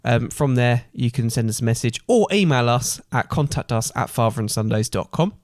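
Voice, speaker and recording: A man's voice: medium pitch, animated, moderate pace, darkish tone, no room sound, studio quality. A man co-hosting a podcast in casual chat. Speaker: podcast co-host.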